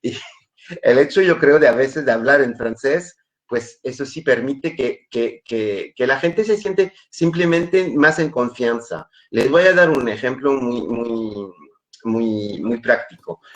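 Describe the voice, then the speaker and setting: Man, French accent, webinar speaker, mid-range voice, halting delivery, repeats words, video call sound. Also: mid-range voice, animated. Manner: hesitant, many repeated words. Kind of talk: webinar talk.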